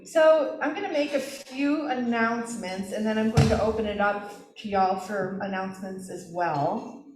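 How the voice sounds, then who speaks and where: A woman hosting a club meeting, chatting warmly with members and making announcements. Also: medium pitch, normal speed, reverberant, far from the mic, noisy background. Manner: upbeat, encouraging interviewer. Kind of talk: casual meeting chat.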